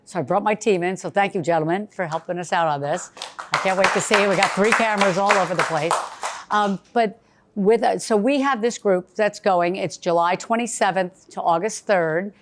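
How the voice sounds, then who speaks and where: A woman's voice: medium pitch, moderate pace, noisy background. A woman travel agent hosting a live event, chatty and bubbly, with a big cheerleader voice. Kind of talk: casual event presentation. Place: bistro event space.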